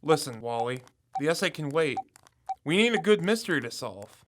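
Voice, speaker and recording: A man's voice: fairly high pitch, sing-song, normal speed, noisy background. A young man playing an eager, confident college student turned amateur detective in an audio drama. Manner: confident, insistent.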